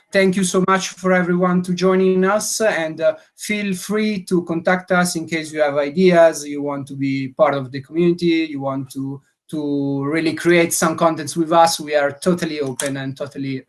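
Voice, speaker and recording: A man with a fairly high voice offers friendly opening words for a community webinar. His phrasing is slightly non-native and he thanks everyone warmly before passing the floor. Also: moderate pace. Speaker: community organizer. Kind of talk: closing welcome remarks.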